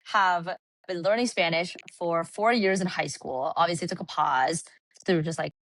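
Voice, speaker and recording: A woman from California, a podcast guest, telling travel stories in an animated, casual way with lots of "like". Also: on the low side, normal speed, no room sound, low-quality recording. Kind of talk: casual chat. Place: Puerto Rico.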